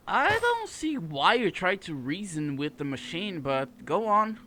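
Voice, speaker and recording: A player giving casual gameplay commentary, reading and reacting aloud in halting short bursts. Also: mid-range voice, very animated. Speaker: gaming video creator playing a story quest. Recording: loud game music behind voice. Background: loud game music.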